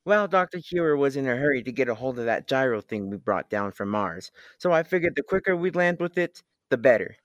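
A man's voice: medium pitch, animated, fairly quick, few pauses, no room sound, lo-fi sound, lightly acted. A young man playing a blustering, folksy, good-hearted rocket pilot in a classic radio serial. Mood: eager. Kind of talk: classic radio drama acting.

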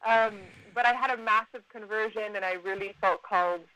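A woman's voice: medium pitch, brisk, pushed and bright. Chatty upbeat woman on a phone line. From Miami, USA. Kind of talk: casual interview.

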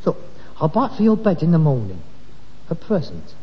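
Wry son, echoey recording. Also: male voice, fairly high pitch, very animated, fairly quick. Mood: placating. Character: middle-aged son of rag-and-bone man, wry, placating. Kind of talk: 1960s British radio sitcom acting. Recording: muffled, reverberant old radio recording.

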